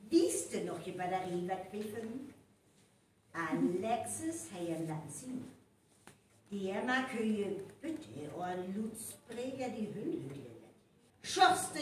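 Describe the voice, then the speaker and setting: A woman acting in a North Frisian live radio play as a detective methodically explaining a murder case. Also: mid-range voice. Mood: insistent. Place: hall with a live audience. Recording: echoey live hall recording, distant, faint noise.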